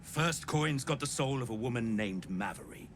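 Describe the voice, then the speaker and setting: An actor playing a gruff, wry game trader who tells grim tales in a blunt, folksy way. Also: male voice, mid-range voice, lively intonation, normal speed, close to an everyday voice. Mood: grim storytelling. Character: gruff unhurried male trader, sardonic messenger telling grim soul stories. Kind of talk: video game character dialogue. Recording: constant noisy ambience behind voice.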